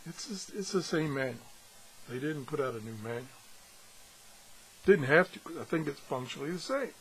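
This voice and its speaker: A man with a slightly husky voice gives a casual, unscripted hands-on review, pausing and thinking aloud as he goes. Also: a little high, lively intonation. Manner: halting, thinking aloud as he handles things. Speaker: gadget reviewer and video host.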